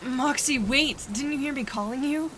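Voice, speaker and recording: A woman's voice: sits high, moderate pace, a bit bright, a little hiss or hum. A woman voicing a confident, take-charge young female trainer in a fan fiction reading, friendly and teasing. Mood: calling out.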